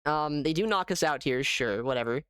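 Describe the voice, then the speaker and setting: A man giving casual live gameplay commentary as a streamer, thinking aloud in an animated way. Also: on the high side, normal speed, clear, resonant tone, no room sound, studio quality. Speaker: gaming streamer.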